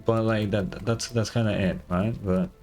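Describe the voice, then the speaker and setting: A man's voice: on the low side, fairly even intonation, moderate pace, a little mellow. A man giving casual, slangy live reactions as a game streamer, thinking aloud and swearing freely. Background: faint game music.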